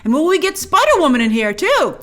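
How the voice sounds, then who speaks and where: Emphatic high-pitched woman reviewing. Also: very animated, a little fast, a bit bright. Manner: emphatic, gushing in praise, stresses key words. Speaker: host of a film review show. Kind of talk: casual opinionated review commentary.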